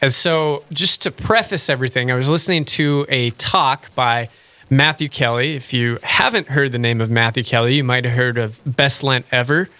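A man hosting a radio talk show speaks with a bright, ringing tone. He chats in a loose, friendly way and laughs easily at his own jokes. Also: mid-range voice, normal speed. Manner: chatty, self-deprecating, laughs easily. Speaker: radio host. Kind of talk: casual faith radio chat.

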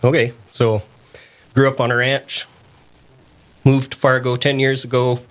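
A man's voice: medium pitch, flat delivery, low-quality recording. A man who grew up on a ranch, talking modestly and with dry humor as a radio studio guest. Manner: modest, wry, unhurried.

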